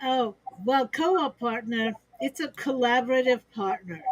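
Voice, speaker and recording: A woman's voice: on the high side, a little slow, no room sound, low-quality recording. A woman speaking as an expert guest on a business livestream, upbeat and explanatory, laughing easily as she gives examples over a video call. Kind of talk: conversational business talk.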